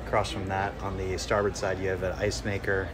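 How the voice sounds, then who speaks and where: A man giving a casual walkthrough tour of a catamaran, matter-of-fact with frequent pauses, recorded on a handheld camera at a boat show. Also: sits low, monotone, noisy background. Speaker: yacht broker giving a tour. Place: aboard a catamaran at a boat show, inside cabins and on deck.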